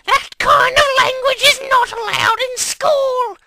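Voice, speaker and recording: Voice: very high voice, fairly even intonation, slow pace, a crowd in the background, heavily acted. A performer voicing a stern, prissy older woman professor who scolds, in an amateur comedic reading. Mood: shrill scolding.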